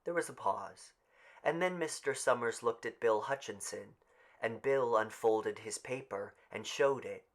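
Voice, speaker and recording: A man's voice: mid-range voice, unhurried. A man narrating literary fiction as a scripted audiobook reading.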